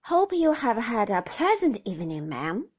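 A woman's voice: a little high, big pitch swings, normal speed, darkish tone, breathy edge, muffled recording. A woman voicing a stubborn, loyal middle-aged female servant who talks stiffly and bluntly.

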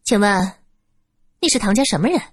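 A woman voicing an earnest young man who speaks in a measured, somber way. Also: medium pitch, animated, no echo, clean studio sound, close to an everyday voice. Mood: firm.

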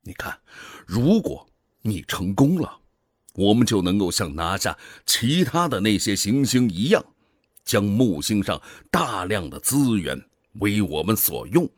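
A man acts a grave older space station director in a radio drama. The voice is very low and unhurried, earnest and cautioning, with expressive intonation and a dry, close sound. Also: dry recording. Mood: earnest persuasion. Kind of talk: science fiction radio drama acting.

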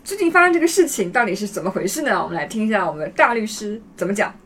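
A woman's voice: medium pitch, animated, racing, a bit bright, slight background noise. A woman hosting a casual podcast, introducing things in a conversational way. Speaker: podcast host.

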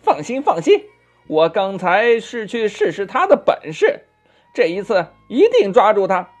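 A man's voice: high voice, big pitch swings, a little slow, voice pushed up, heavily acted. A man voicing a bold, taunting monkey king in a storybook tale, cocky and challenging. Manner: shouted challenges, cocky teasing. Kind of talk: children's storybook character voice. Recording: muffled lo-fi audio with music behind.

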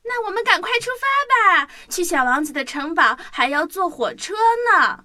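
A woman voicing an eager, excitable little girl in a children's audio drama. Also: sits high, moderate pace, no echo, studio quality, exaggerated voice. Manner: eager, exclaiming. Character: excitable little girl train passenger Nina.

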